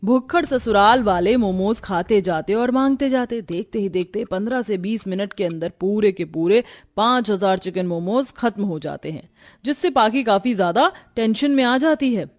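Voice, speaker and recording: A woman's voice: medium pitch, animated, normal speed. A woman narrator telling a scripted cartoon story.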